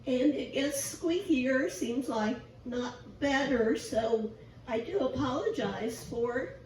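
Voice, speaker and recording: Woman lay preacher, squeaky and raspy, self-deprecating, slow delivery, distant echoey church recording with faint hiss. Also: animated, a little mellow. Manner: chatty, self-deprecating, asks the congregation questions. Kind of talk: informal sermon. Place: church sanctuary.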